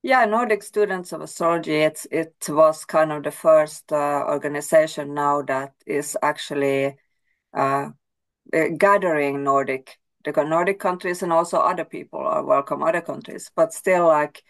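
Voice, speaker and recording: Casting: woman guest, low voice, native Swedish speaker, measured and hesitant. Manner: thoughtful, hesitant with restarts. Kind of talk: casual interview.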